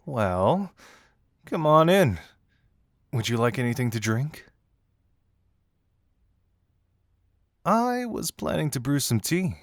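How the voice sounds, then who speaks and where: A playful young male detective, acted by a man in an intimate audio roleplay. He is teasing and theatrical, musing aloud to the listener with very animated intonation. The sound is dry, with no room around it. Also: medium pitch, dry recording. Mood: curious, amused, then friendly, welcoming. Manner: teasing, theatrical, musing hums and soft chuckles.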